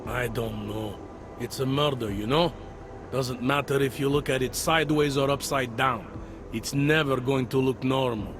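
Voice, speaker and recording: An actor playing a weary, self-deprecating older cop near retirement in a video game, talking in a wry, conversational way. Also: male voice, medium pitch, normal speed, noisy background, natural voice. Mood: dismissive. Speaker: police officer. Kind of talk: video game cutscene dialogue. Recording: background music under dialogue.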